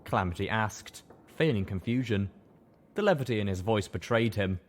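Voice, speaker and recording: A man narrating a first-person story aloud with expressive, scripted audiobook delivery. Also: on the low side, normal speed.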